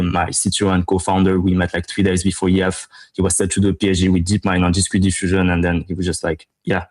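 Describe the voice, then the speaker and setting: A man from Morocco with a deep voice and a narrow, steady pitch range. He talks as a company founder in an interview, explaining his business conversationally and repeating words for emphasis. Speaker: startup co-founder being interviewed.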